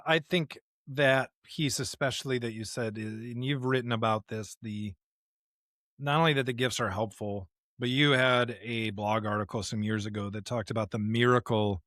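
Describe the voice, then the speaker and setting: A man's voice: medium pitch, measured pace, fairly bright tone, no room sound, studio quality. A man co-hosting a podcast, reflecting on faith conversationally in an earnest, emphatic way, with rhetorical questions. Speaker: podcast co-host. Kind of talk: conversational, reflective religious discussion.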